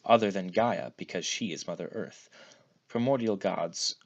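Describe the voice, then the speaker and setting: A man reading fan fiction aloud as an expressive narrated story. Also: sits low, moderate pace, gravelly, muffled recording. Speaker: fan fiction podfic reader.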